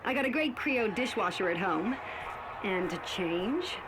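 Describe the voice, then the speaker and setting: A woman's voice: medium pitch, lively intonation, a little slow, noisy background, natural voice. An actress playing a reluctant, sarcastic girlfriend answering interview questions in a video game, hesitant and sassy. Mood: wry, amused. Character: reluctant, sarcastic girlfriend visiting a theme park.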